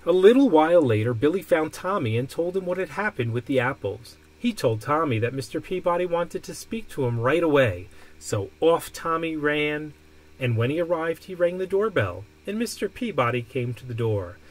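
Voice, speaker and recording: A man reading a children's picture book aloud expressively, with deliberate, emphatic phrasing. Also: on the high side, very animated, poor audio. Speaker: storybook reader. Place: indoor room.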